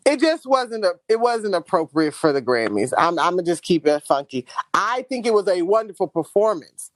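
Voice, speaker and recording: A woman's voice: on the low side, normal speed, no echo. A woman from Houston with a Houston accent, co-hosting a podcast in casual, candid chat. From Houston, Texas. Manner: animated, candid, laughs often. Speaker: podcast co-host.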